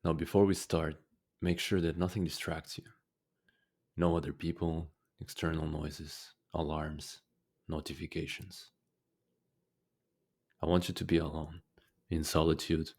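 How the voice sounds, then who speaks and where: A man leading a guided meditation as a teacher, speaking calmly and deliberately with frequent pauses. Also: rumbling low.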